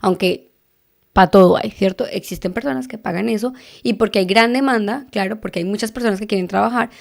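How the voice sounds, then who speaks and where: A woman's voice: medium pitch, fairly quick, creaky. A woman in her thirties from Colombia with a Bogotá accent, hosting a podcast and explaining in a chatty way, often checking with listeners. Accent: Bogotá Colombian Spanish. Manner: chatty, asks listeners for agreement with frequent tag questions. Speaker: podcast host. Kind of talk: casual explanatory podcast talk. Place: living in Texas.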